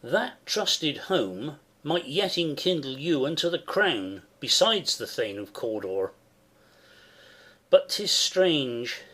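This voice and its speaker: Man as thoughtful noble soldier, wary, Shakespearean, very slow, animated pitch. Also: exaggerated voice. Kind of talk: dramatic Shakespeare reading.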